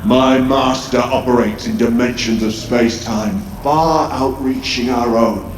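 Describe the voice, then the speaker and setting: A man's voice: mid-range voice, steady tone, measured pace, slight background noise, close to an everyday voice. An actor playing a menacing possessed man merged with an alien horror, taunting and grandiose, in a sci-fi horror audio drama. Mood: zealous, ominous. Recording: roomy echo over music.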